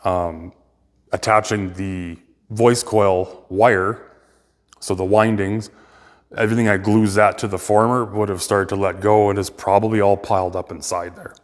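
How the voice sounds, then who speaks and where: A man giving a casual, unscripted technical walkthrough as a repairer, halting as he thinks aloud. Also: lowish voice, fairly even intonation, long reverb tail. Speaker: speaker restorer presenting a repair video.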